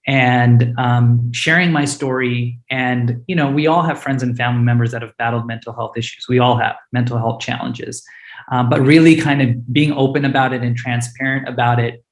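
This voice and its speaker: A man's voice: medium pitch, fairly even intonation, normal speed, echoey room, low-quality recording. A man, a startup founder with Hindi as his first language, answering panel questions earnestly and openly, with frequent fillers and restarts.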